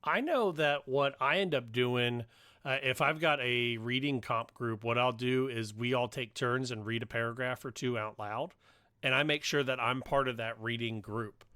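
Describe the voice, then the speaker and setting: A man's voice: mid-range voice, moderate pace, sharp tone, no room sound. A man hosts a podcast discussion in a mid-range voice. He moderates the conversation, and the sound is dry with very little room in it. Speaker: podcast host.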